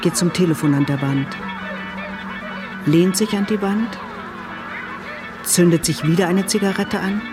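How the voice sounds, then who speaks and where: A woman reading scripted drama narration and stage directions in a measured, detached way, in short phrases. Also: on the low side, music in the background.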